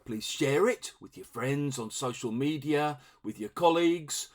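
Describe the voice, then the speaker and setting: A middle-aged man explaining vocabulary to learners in a relaxed, conversational way, acting out short example dialogues. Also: medium pitch, measured pace, slight background noise. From England. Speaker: English teacher.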